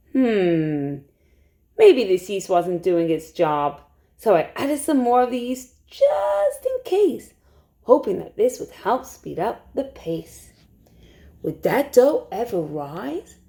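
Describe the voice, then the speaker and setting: A woman storytime teacher reading a rhyming picture book aloud to young children in an expressive way. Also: medium pitch, gravelly. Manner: expressive, hushed suspense, asks listeners questions.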